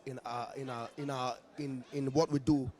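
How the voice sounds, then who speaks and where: A man with a Nigerian accent, a stage actor answering interview questions in a hesitant, halting way. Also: mid-range voice, steady tone, a little mellow.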